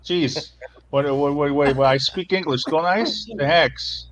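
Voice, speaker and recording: A man's voice: a little high, measured pace, a bit bright. A man playing a cranky, blustering pizza man, trading comic insults and repeating himself in mock indignation. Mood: scornful ranting. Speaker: livestream co-host. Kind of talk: comic insult banter on a livestream.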